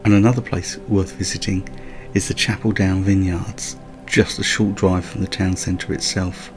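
Man, travel voice-over, low voice, gravelly, light chatty asides. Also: little pitch movement, normal speed, music in the background. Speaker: travel vlogger. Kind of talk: scripted travel narration.

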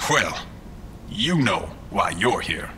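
An actor playing a smug, mocking mastermind employer in a scripted audio drama, with a theatrical, falsely polite, condescending manner. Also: male voice, low voice, big pitch swings, slow, pushed and bright, a little hiss or hum, exaggerated voice. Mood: taunting.

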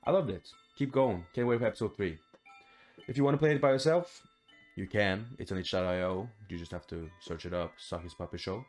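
A young man with a fairly low voice hosts a gaming video. He talks informally and thinks aloud as he plays, with some swearing. Faint music runs behind him. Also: fairly quick. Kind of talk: casual let's play commentary. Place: small room indoors at home.

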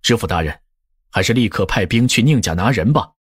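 A man's voice: on the low side, expressive, a little fast, breathy edge, no echo, clean studio sound. A man playing an indignant, dignified official in an audiobook drama, speaking formally and firmly. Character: indignant, dignified male official, calculating husband. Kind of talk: audiobook drama acting in Mandarin.

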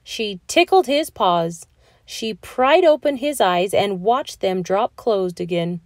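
A woman reading a children's picture book aloud in an expressive, deliberate storytelling manner. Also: mid-range voice, no room sound, studio quality. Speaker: storybook reader.

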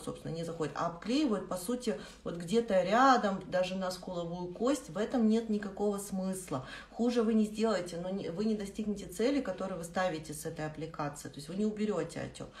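Low-pitched woman instructor, echoey room. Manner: instructive, demonstrating with frequent rephrasing. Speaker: face taping instructor. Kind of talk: live webinar tutorial, explanatory. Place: small indoor room with plain wall.

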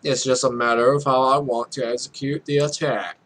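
A man's voice: medium pitch, steady tone, a little fast, a bit bright. A young man hosting a let's play, reading game text aloud with casual, irreverent, sweary asides. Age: young adult.

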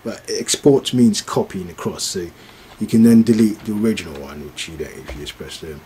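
Male product demonstrator, fairly low voice, unscripted and hesitant, walking through steps over slight noise. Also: expressive, mellow. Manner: halting, thinking aloud while operating the device. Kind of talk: informal screen tutorial, unscripted step-by-step demonstration.

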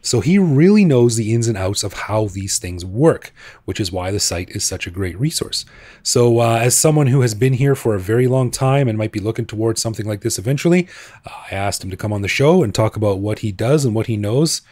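Man, North American accent, podcast host, laid-back, joking, low-ish voice. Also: fairly quick. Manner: relaxed, joking, laughs often. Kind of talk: casual podcast chat and interview.

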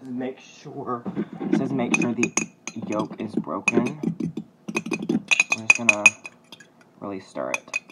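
A young man talks in an informal, playful way, like a home cook vlogging to friends. His voice sits at a middle pitch. The phone recording is very noisy, with clicks and clattering dishes in a kitchen. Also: takes it slowly, long pauses. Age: young adult. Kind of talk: casual cooking vlog chat. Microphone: phone at arm's length.

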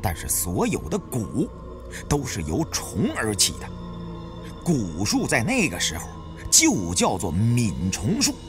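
A man voicing a long-winded, bookish adviser who explains ancient lore in a measured audiobook style. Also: fairly low pitch, wildly swooping pitch, music in the background. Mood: explanatory. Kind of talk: audiobook character acting.

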